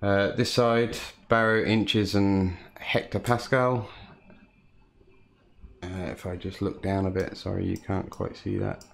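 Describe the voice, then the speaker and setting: A man from the United Kingdom, a home cockpit builder, calmly walking through his hobby build with frequent "uh" fillers. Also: deep voice, gravelly, slight background noise. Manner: calm, unhurried, frequent "uh" fillers. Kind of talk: casual hobby walkthrough.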